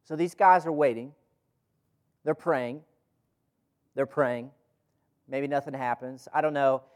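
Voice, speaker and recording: A man's voice: mid-range voice, slow pace. A man leading a group Bible study in an informal, conversational preaching style, asking rhetorical questions and repeating phrases for emphasis. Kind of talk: informal teaching sermon with scripture reading. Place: room with a group gathered.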